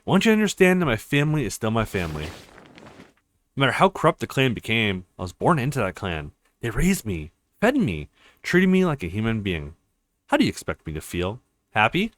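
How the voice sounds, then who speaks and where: A man's voice: medium pitch, huge pitch swings, a little fast, fairly bright tone. A man voicing a bitter, blunt young woman fighter from a visual novel, with a reproachful way of talking.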